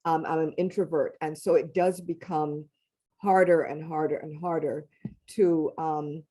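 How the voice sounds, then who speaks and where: A woman's voice: low voice, level tone, unhurried, mellow. A woman with a West Indian accent speaking on a panel, talking emphatically and with animation. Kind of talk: panel discussion.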